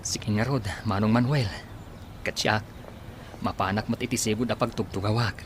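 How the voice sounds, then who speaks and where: A man's voice: lowish voice, steady tone, normal speed, slight background noise, natural voice. A man playing a humble, weary young working man in an audio drama. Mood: polite. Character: humble, weary blind young masseur and street musician. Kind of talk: Ilocano audio drama acting. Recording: music under the voice. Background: music.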